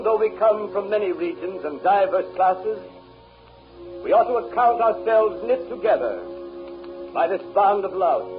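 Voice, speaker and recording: A man's voice: high-pitched, close to an everyday voice. A man acting a fervent Puritan leader, giving a solemn, oratorical sermon in a 1930s radio drama. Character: fervent Puritan leader exhorting his departing flock. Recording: muffled 1930s radio recording. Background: music.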